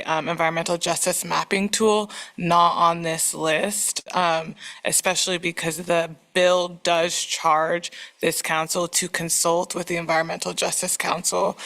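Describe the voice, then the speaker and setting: A woman council member speaking at a public meeting, earnest and hesitant, with many pauses and ums. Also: lowish voice, fairly bright tone. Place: meeting room.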